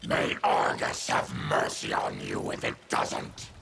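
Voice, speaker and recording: A man playing a grim, afflicted mutant warrior in a video game, with a guttural, gravelly voice. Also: very deep voice, completely flat delivery, slow pace, pushed and bright, slight background noise, exaggerated voice. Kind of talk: video game fight dialogue.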